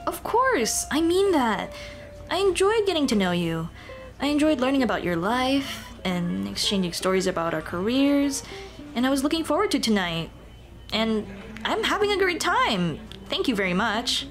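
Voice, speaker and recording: A woman playing a shy, earnest Dullahan schoolteacher in an ASMR roleplay, speaking softly and expressively. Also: sits high, big pitch swings, normal speed, soft background music. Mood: earnest, warm. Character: shy, earnest female Dullahan teacher, headless monster girl on a date.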